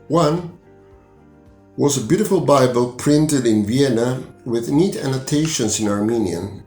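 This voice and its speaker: A man in his sixties from Argentina, a native Spanish speaker with an Argentine Spanish accent, reading a personal testimony in a measured, deliberate way. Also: medium pitch, darkish tone, echoey room. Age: 60s. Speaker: language student.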